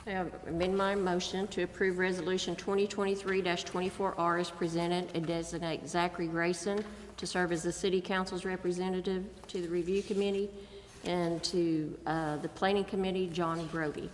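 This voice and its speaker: A woman's voice: on the low side, level tone, moderate pace. An American woman speaking as a council member, making formal motions at a public meeting. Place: large indoor council chamber. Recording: echoey chamber with clear noise.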